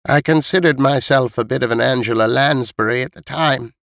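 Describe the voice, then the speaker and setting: A man's voice: medium pitch, a little fast, gravelly, a bit bright, no echo, clean studio sound, voice pushed up. A man voicing a stern, blunt elderly woman who knows more than others, in an audiobook story. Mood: grave, matter-of-fact.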